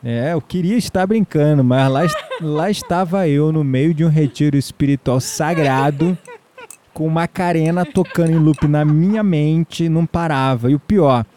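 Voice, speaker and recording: An actor playing an amiable, self-deprecating, introspective man in an audio drama, speaking Brazilian Portuguese in a measured, reflective way. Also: a little high, warm tone, close to an everyday voice. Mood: amused, self-mocking. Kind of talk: audio drama dialogue and inner monologue.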